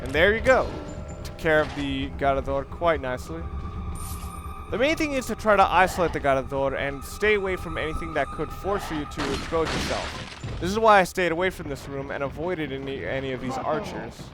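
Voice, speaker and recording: A man's voice: fairly high pitch, animated, moderate pace, over music. A man giving casual let's play game commentary, explaining things analytically and often correcting himself as he goes. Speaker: gameplay commentator. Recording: noisy recording with clear background noise.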